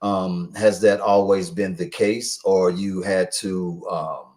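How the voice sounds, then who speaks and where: A man's voice: sits low, steady tone, takes it slowly, a little mellow. A man hosting a radio interview, laid-back and casual, using slang and many fillers like "you know what I mean" as he asks his questions. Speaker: radio show host.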